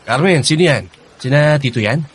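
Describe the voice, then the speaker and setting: A man's voice: mid-range voice, fairly quick, breathy edge. A man with a Filipino accent playing an emphatic, exasperated but affectionate boyfriend in a radio drama. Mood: casual, obliging. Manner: emphatic, expressive. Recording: music under the voice.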